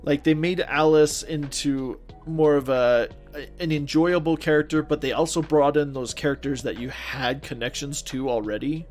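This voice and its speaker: A man's voice: fairly high pitch, steady tone, moderate pace, few pauses, darkish tone. A man co-hosting a podcast, talking casually in an informal, slangy and agreeable way. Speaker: podcast co-host. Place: recorded remotely, separate from co-host. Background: background music.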